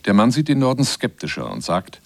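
A man's voice: medium pitch, wildly swooping pitch, fairly quick, creaky, no room sound. A man reading scripted narration for a German radio feature as its presenter.

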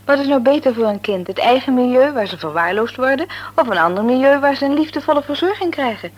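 Frank, sociable family woman for radio drama: very animated intonation, emphatic delivery. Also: high-pitched, fast talker, muffled recording. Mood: emphatic, insistent. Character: Maartje, chatty, frank, sociable sister-in-law and family friend. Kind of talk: Dutch radio play acting.

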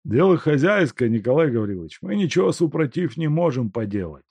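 A man's voice: medium pitch, animated, moderate pace, no echo, pitched above the performer's own voice, natural voice. Male reader as folksy workers, apologetic, dry close sound. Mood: sheepish. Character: sheepish common male factory workers.

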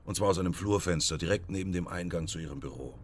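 Male actor for police investigator in radio drama, deep-pitched, matter-of-fact, courteous but probing. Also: fast, slight background noise, lightly acted. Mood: polite, probing. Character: methodical police detective, matter-of-fact and polite. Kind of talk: naturalistic radio drama acting.

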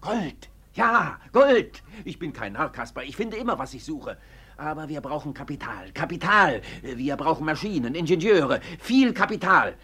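Older obsessed trader, radio drama, husky, higher-pitched, very animated, urgent, domineering. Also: male voice, normal speed, exaggerated voice. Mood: feverish, excited. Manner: urgent, emphatic, swings between hushed and shouted. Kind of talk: German radio drama acting.

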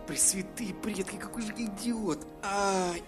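A clumsy, well-meaning ice dragon, put on by a man reading a story, blurting out flustered exclamations. The voice sits high and swings all over. Faint music runs under it. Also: lively intonation, measured pace. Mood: excited. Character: clumsy good-hearted male ice dragon.

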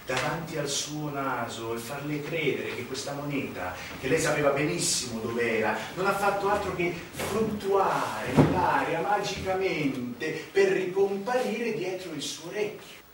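Theatre showman conjurer played by a male actor, fairly high voice, distant, noisy, very reverberant stage sound. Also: lively intonation, a little slow, few pauses. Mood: showy.

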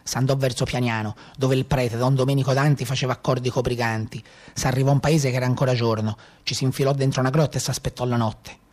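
An actor playing a rugged escaped brigand who tells his own story in a Tuscan accent, in a brisk, matter-of-fact theatrical style. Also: mid-range voice, fairly even intonation, darkish tone, close to an everyday voice. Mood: brisk, gritty recounting. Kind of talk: first-person theatrical storytelling.

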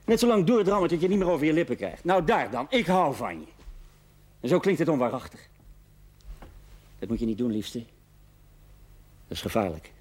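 A man's voice: on the high side, very animated, normal speed, long pauses, a little mellow. An actor with a Dutch accent playing a sardonic, evasive husband and new father in a period television drama, with a dry, brusque manner. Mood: evasive, irritated. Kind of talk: naturalistic television drama acting. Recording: noisy 1970s television soundtrack.

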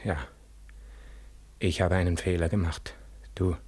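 An actor in a 1940s German radio drama playing a dead war returnee, a mournful, gently accusing ghost who speaks slowly and solemnly with heavy pauses. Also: male voice, low voice, level tone, husky. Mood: sorrowful reproach. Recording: 1940s radio studio recording.

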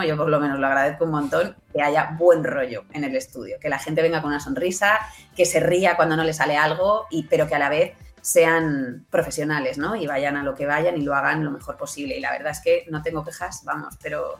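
A woman giving chatty, emphatic answers as an interview guest, repeating herself for stress. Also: sits low. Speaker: guest, audio fiction producer.

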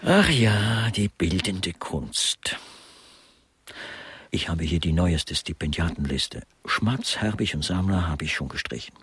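An actor playing an elderly, gossipy, cynical aesthete in a crime radio play, speaking in a husky, breathy voice with affected relish. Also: male voice, sits low, expressive, takes it slowly, fairly bright tone, dry recording, exaggerated voice. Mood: wry, musing. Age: older. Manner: affected, musing, theatrically relishing words. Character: Meiser, elderly male honorary guest artist, gossipy, cynical aesthete. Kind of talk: German crime grotesque radio play dialogue.

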